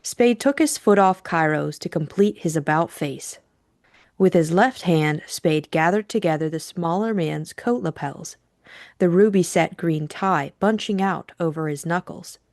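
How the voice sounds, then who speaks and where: A woman with a fairly low voice narrates from a script, as for an audiobook. The sound is dry, with little room around it. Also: a little mellow, no echo. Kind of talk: scripted introduction and novel narration.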